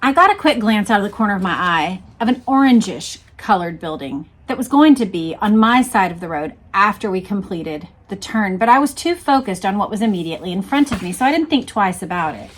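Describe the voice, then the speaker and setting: A woman's voice: medium pitch, lively intonation, fairly quick, few pauses, slight background noise. A woman reading a book aloud and breaking off to explain and point things out to the viewer. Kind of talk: read-aloud narration with explanatory commentary.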